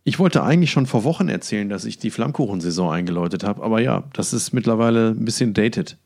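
A man's voice: lowish voice, lively intonation, quick pace, vocal fry, a little mellow. A man co-hosting a podcast in casual chat, putting in dry, teasing remarks. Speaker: podcast co-host. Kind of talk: casual chat between two podcast hosts.

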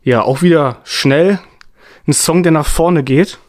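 Higher-pitched man in casual music chat. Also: a little slow. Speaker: music podcast co-host.

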